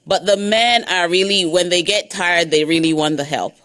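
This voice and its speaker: A woman from Nigeria, a guest counselor in a radio interview, giving earnest, measured advice. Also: lowish voice, a bit bright. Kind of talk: interview answers.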